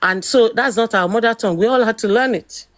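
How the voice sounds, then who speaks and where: A middle-aged Nigerian woman hosting a talk, ranting forcefully and firing off rhetorical questions. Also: fairly high pitch, brisk. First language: Yoruba. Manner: emphatic, rhetorical questions, rising to exclamations. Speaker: host. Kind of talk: opinionated commentary.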